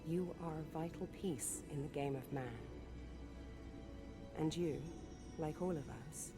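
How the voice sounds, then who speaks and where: An actress playing a mysterious female guide of fate in a video game, measured, cryptic and portentous. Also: low voice, fairly even intonation, music in the background. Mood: knowing, cryptic. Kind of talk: dramatic video game cutscene acting.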